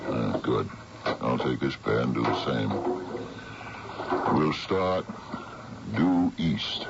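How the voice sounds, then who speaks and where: An actor playing a steady, practical man in charge of a small sailboat in a radio drama, commanding but reassuring. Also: a bass voice, takes it slowly, vocal fry, heavily acted. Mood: urgent, in command. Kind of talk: 1970s radio drama acting. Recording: dull, very noisy old radio broadcast.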